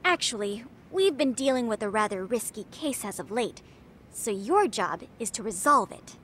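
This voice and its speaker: A woman's voice: on the high side, lively intonation, normal speed, a bit bright, a little hiss or hum. A woman playing a self-important teenage schoolgirl who leads a detective club in a video game dub, with pompous, precise, dramatic phrasing. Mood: stern, calculating. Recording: music under the dialogue. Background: music.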